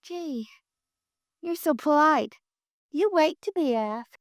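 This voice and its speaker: Coy young dancer, high-pitched, dry close sound, 1950s radio play. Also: female voice, expressive, takes it slowly, no room sound.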